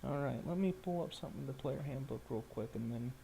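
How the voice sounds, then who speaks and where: A man's voice: mid-range voice, fast talker, vocal fry, mellow, slight background noise. A man acting as game master, casually narrating a tabletop roleplaying game and talking informally and hesitantly as he checks the rules.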